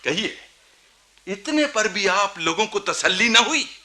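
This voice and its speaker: An actor playing a proud, embittered young Nawab in a historical radio drama, with imperious, rhetorical courtly speech. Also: male voice, high-pitched, expressive, slow pace, sharp tone, heavily acted. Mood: bitter, aggrieved. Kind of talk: theatrical Hindi radio historical drama acting.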